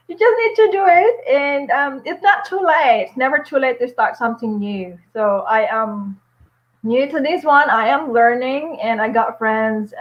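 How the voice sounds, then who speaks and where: A woman in her forties, a nurse and vlogger chatting on a casual live stream at home, upbeat, with many fillers and little laughs. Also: sits high. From Philippines. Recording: dull lo-fi stream audio.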